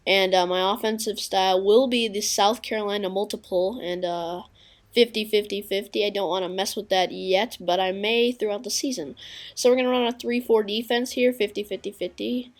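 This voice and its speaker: A woman giving casual, halting gameplay commentary with a congested, sniffly voice and many filler pauses. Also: mid-range voice. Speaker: gaming video creator.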